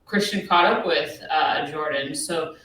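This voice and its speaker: Deep-voiced woman in an echoey room. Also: a little slow, a bit bright, recorded from a distance. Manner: musing, hesitant, with many ums and likes. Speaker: podcast co-host. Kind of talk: casual recap chat.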